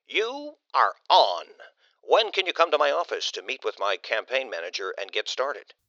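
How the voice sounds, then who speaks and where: A man voicing a confident, decisive political candidate with a brisk, businesslike manner in an audiobook story. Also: medium pitch, sing-song, sharp tone, no room sound, muffled recording, voice pushed up. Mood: pleased, businesslike.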